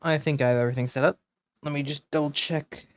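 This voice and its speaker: A man rambling casually and low-key as he chats on a livestream. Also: fairly even intonation, fast talker, pushed and bright, dry recording, lo-fi sound. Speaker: live streamer.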